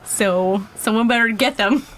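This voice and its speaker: A woman's voice: fairly high pitch, measured pace, a bit bright, a little hiss or hum. A woman chatting casually as a tabletop game player, thinking aloud about her moves. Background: music.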